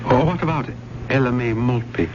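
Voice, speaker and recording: An actor playing an obsessed, volatile college professor in old-time radio drama, erudite and courteous but halting when cornered. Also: male voice, mid-range voice, natural voice. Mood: guarded unease. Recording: noisy, dull old radio drama recording.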